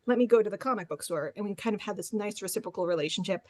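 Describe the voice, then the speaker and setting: A woman's voice: mid-range voice, very fast, slight background noise. A woman speaking as a librarian on a panel, sharing experience informally through anecdotes.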